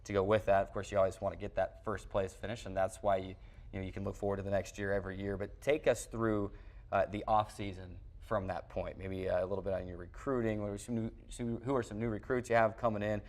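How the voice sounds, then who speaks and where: A man with a fairly low voice hosts a studio sports show. He talks very quickly in a broadcast interviewing style, moving briskly from point to point. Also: level tone, darkish tone.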